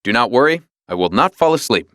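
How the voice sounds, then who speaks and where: A man's voice: medium pitch, sing-song, a little fast, fairly bright tone, no room sound, studio quality. A man voicing an eager, loyal talking dog for a children's storybook, in short earnest exclamations.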